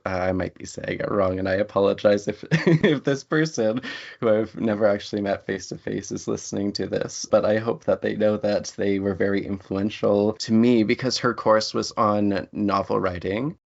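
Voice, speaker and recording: Low-pitched, gravelly, reflective and articulate writer, interview chat, slightly muffled lo-fi recording. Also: moderate pace. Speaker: guest writer. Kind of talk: thoughtful interview conversation. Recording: dull lo-fi audio.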